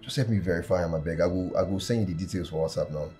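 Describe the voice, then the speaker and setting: An actor with a Nigerian accent playing a loyal, composed assistant and friend in a screen drama. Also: male voice, low-pitched, very fast, warm tone, faint music behind, natural voice. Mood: worried, urgent.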